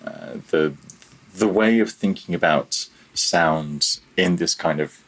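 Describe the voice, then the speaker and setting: A man's voice: low-pitched, takes it slowly, fairly bright tone, poor audio. A man talking casually as an interview guest, candid and self-deprecating with a wry manner. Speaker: podcast guest, audio software developer.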